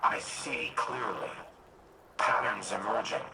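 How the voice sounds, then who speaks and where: An actor playing an ancient, solemn artificial intelligence, speaking in grave, measured phrases as in a game cutscene. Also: male voice, fairly low pitch, steady tone, creaky, heavy background noise. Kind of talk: video game cutscene monologue.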